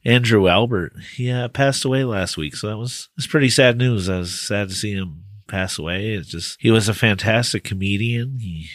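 A low-pitched man with a slightly raspy voice, talking in a relaxed, rambling way with plenty of ums. The recording is close and dry, with no room sound. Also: normal speed, hardly any pauses, no room sound. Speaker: podcast host. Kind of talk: casual podcast chat.

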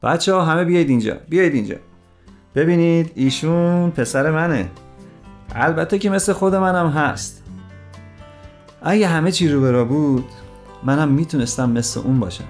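A man's voice: medium pitch, close to an everyday voice. Male actor for proud, earnest father in an audio story; direct, emphatic delivery, music underneath. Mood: proud, excited. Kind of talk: dramatized story dialogue.

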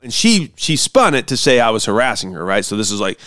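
A man, a pastor and show host, chatting casually and telling stories in an animated way, acting out quotes. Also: fairly low pitch, brisk, no echo, clean studio sound. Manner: animated, acts out quotes mockingly. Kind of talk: casual chat and storytelling.

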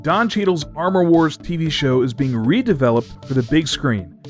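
A man's voice: fairly high pitch, expressive, normal speed. A man hosting a scripted entertainment news segment, reading as narration. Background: music.

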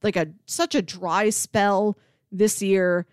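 A woman's voice: mid-range voice, steady tone, takes it slowly, clear, resonant tone, no room sound, clean studio sound. A woman co-hosting a casual podcast, chatting in an enthusiastic, effusive way. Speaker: podcast co-host.

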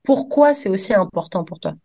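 A woman's voice: medium pitch, animated, fairly quick, warm tone, slightly rough. A woman radio host asking friendly, conversational interview questions.

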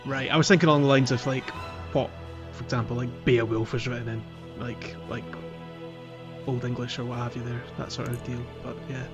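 A man's voice: mid-range voice, steady tone, fairly quick, music in the background. A man hosting a podcast, talking casually and chattily about a game in informal language. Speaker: podcast host.

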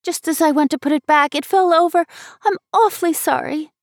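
A woman's voice: sits high, a little fast, no echo, clean studio sound, voice pushed up. A woman voicing a contrite, tearful little girl in a storybook, apologetic and pleading.

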